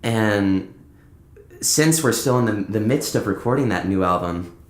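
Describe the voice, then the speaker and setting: A man's voice: fairly low pitch, expressive, a little slow. Guy musician telling stories on a podcast, kinda rambly, roomy echo on it. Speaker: podcast guest, band musician. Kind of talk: casual conversational interview, telling anecdotes. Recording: roomy, echoing indoor sound.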